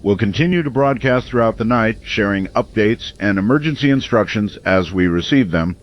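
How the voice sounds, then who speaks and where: An actor playing a steady, reassuring community radio host broadcasting through a crisis in an audio drama. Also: male voice, fairly low pitch, moderate pace, muffled recording, natural voice. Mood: solemn, steady.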